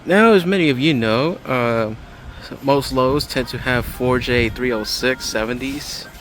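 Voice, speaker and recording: A man's voice: mid-range voice, unhurried, a bit bright, slight background noise, low-quality recording. A man giving casual, matter-of-fact walk-through commentary as a hobbyist vlogger inside a large store. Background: background music in a store.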